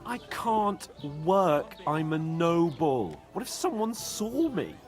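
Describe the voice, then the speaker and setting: An actor playing a pompous, excitable young nobleman in a video game, theatrical and quick to indignation. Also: fairly high pitch, soft background music. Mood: indignant. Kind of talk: dramatic video game dialogue.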